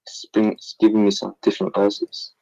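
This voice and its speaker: A man's voice: lowish voice, completely flat delivery, moderate pace, vocal fry, warm tone, no room sound, dull audio. A man calling in to an online debate. He speaks hesitantly, sounds doubtful and often trails off. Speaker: caller. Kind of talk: casual call-in conversation.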